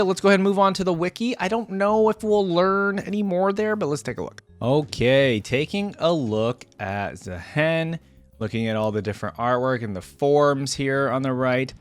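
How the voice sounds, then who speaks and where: A man with a medium-pitched voice works as an online creator doing reaction commentary. He reads written material aloud, then drops into casual, conversational asides as he thinks out loud. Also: dry recording. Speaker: video creator reacting to game lore.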